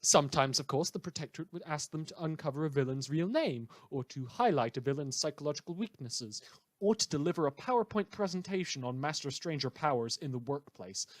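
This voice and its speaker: A man's voice: fairly high pitch, lively intonation, fairly quick, no room sound, natural voice. A man playing a sarcastic, indignant radio host in a superhero audio drama, delivering a satirical monologue with theatrical emphasis. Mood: mocking. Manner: sardonic, theatrical emphasis, mock-hesitant asides.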